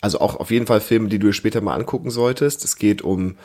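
A man's voice: on the low side, fast talker, darkish tone. A man co-hosting a casual family podcast, chatting as a parent does with a child.

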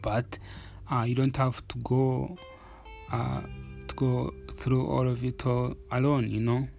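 Ugandan guy on his podcast, gravelly voice, pretty flat delivery, soft music behind. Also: medium pitch, takes it slowly. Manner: reflective, candid, many fillers and restarts. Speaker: podcast host. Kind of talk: casual solo podcast talk.

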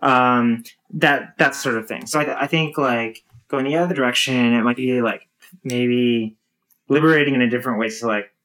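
A woman in her thirties with a low voice, talking casually and thoughtfully with many fillers and restarts. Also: normal speed, a bit bright, low-quality recording. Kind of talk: casual conversation.

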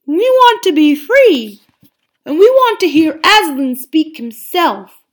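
A woman reading aloud, voicing an old, slow-witted male bear who speaks plainly and earnestly. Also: very high voice, sing-song, pitched above the performer's own voice, full character voice.

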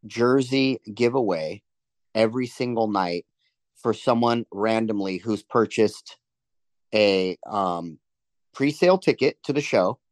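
A man's voice: fairly low pitch, darkish tone, no echo. A man chatting casually in an interview as a touring musician, with slangy, informal phrasing. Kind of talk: casual chat.

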